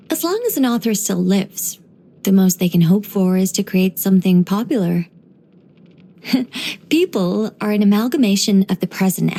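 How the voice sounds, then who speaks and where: A woman voicing a gentle, wry former angel turned human storyteller in a video game, musing and lightly teasing in an unhurried way. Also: mid-range voice. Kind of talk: scripted video game character voice lines.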